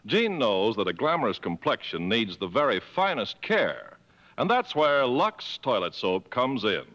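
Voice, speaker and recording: Male radio announcer, 1950s, polished sales pitch, bright, animated intonation, reverberant vintage broadcast. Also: unhurried, lo-fi sound.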